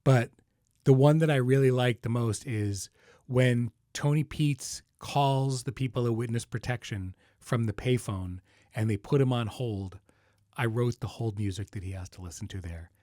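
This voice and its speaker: A man's voice: medium pitch, normal speed, mellow, no room sound, clean studio sound. A middle-aged man hosting a podcast, speaking casually and wryly straight to listeners. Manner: conversational, wry, informal. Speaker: podcast host and creator. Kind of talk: casual podcast address, answering listener questions.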